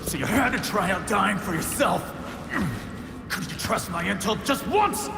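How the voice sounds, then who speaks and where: A man's voice: on the low side, normal speed, lightly acted. A man playing a loyal, defiant young vigilante in a video game, earnest and wry with emotional urgency. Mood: reproachful. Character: gruff, loyal young male vigilante, wounded but defiant. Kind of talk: dramatic video game cutscene acting. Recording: echoey hall reverb with music.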